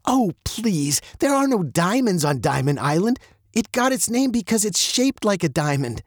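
A man reading aloud and voicing a bold, curious young girl who is quick to argue. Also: high-pitched, lively intonation, no room sound, clean studio sound, voice pushed up.

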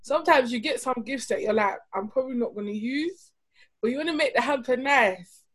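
Teasing high-voiced woman podcaster. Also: brisk, a bit bright. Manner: teasing, laughs often. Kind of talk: casual podcast chat.

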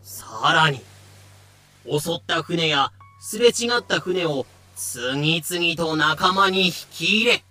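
A man's voice: sits high, bright, forceful tone. A man narrating an audio drama from a script in a dramatic storytelling style.

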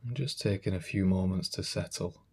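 A man speaks as a meditation guide in a low, husky voice. His delivery is calm and gentle, with unhurried instructions and space left between phrases.

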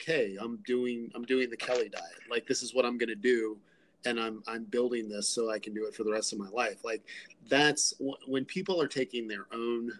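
Man, American accent, podcast host, easygoing, laughs a lot, middle pitch. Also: poor audio. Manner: easygoing, laughs often, uses many likes. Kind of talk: casual interview chat.

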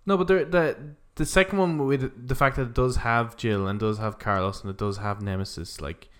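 A man's voice: medium pitch, normal speed, a bit bright, clean tone. A man co-hosting a podcast, chatting casually and giving his take in an informal discussion. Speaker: podcast co-host. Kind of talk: casual film discussion chat.

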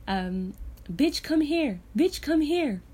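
A woman hosting a podcast, chatting casually from her bed with a hoarse voice from illness. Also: sits high, very animated, slow pace, darkish tone. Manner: chatty, laughs often. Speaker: podcast host. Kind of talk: casual chat. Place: in bed at home.

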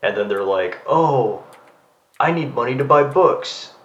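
A man's voice: medium pitch, animated, unhurried. Muffled, echoey male podcast co-host. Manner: rambling, slangy, acts out quoted thoughts. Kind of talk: casual chat. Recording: muffled, roomy, faint background noise.

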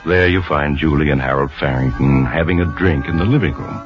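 Very deep, ominous radio storyteller. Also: male voice, a little fast. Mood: measured, ominous. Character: ominous male storyteller narrating a crime tale. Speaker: narrator. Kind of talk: old-time radio drama narration in second person. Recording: dull old radio recording, music underneath.

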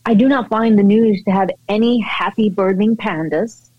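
A woman's voice: mid-range voice, fairly even intonation, moderate pace, no echo, narrow, tinny audio. Effusive, chatty woman with a dry sound. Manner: effusive, many fillers and restarts. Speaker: actress guest. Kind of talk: casual interview chat.

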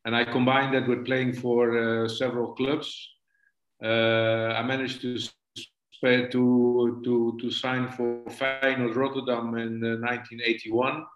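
A man in his sixties with a Dutch accent, a football coach answering interview questions haltingly, often stumbling and repeating words. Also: mid-range voice, flat delivery. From Netherlands. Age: 60s. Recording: echoey room, dull lo-fi connection.

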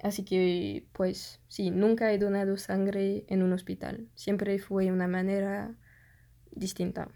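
A woman's voice: mid-range voice, little pitch movement, slow, vocal fry, warm tone. A young woman whose first language is French, telling a personal story in a casual, hesitant way, often searching for words. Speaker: vlogger.

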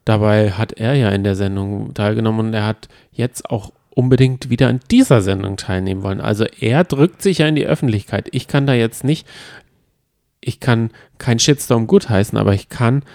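Man, creaky mid-pitched voice, blunt, opinionated, casual podcast co-host, close dry recording. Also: normal speed, a little mellow, dry recording. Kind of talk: casual chat about reality TV.

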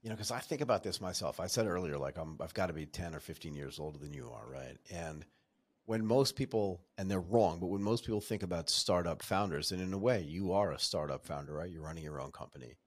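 A man's voice: low-pitched, lively intonation, brisk. A man in his fifties hosting an interview, asking long, rambling questions in a joking, conversational manner. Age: 50s. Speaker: podcast host.

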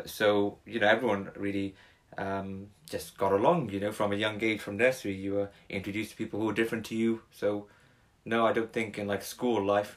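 A young man, talking casually as a podcast guest, rambling with many fillers and restarts. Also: lowish voice. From Wales. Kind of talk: casual conversation.

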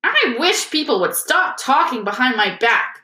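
A woman's voice: a little high, very animated, unhurried, sharp tone, roomy sound, voice pushed up, full character voice. A woman voicing a gloomy, easily offended ghost girl in a tearful, melodramatic storybook reading. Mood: tearful, wounded.

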